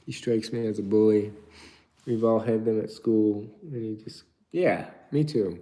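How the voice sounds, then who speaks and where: A man's voice: lowish voice, a little slow, warm tone. A young man from Turkey chatting casually on a live stream, reading out viewer questions and rambling through his answers.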